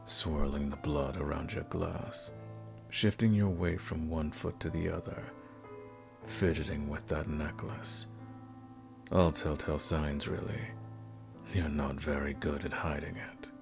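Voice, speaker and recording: A man playing a suave, courteous vampire nobleman in a scripted ASMR roleplay, speaking formally and softly in a gravelly voice. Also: steady tone, measured pace, full character voice. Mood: teasing, flirtatious. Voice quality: very deep, gravelly. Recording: music playing behind the voice.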